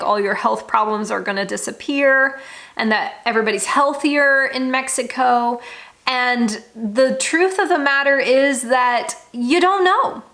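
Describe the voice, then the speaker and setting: A woman's voice: fairly high pitch, fairly bright tone. An American woman vlogger talking candidly and informally to her viewers, with frank, emphatic delivery. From United States. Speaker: travel vlogger. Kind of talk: candid, conversational monologue to viewers.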